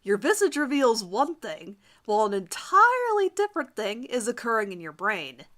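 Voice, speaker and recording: Woman playing a smug teenage charmer, lively and bright. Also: fairly high pitch, normal speed. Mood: smug, calculating. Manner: self-assured, formal wording. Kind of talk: dramatic reading of first-person fan fiction.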